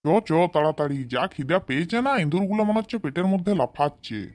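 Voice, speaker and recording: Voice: mid-range voice, expressive, fast talker, darkish tone, natural voice. A performer voicing a fond, hard-working village farmer father in a comedy cartoon. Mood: hungry, comic.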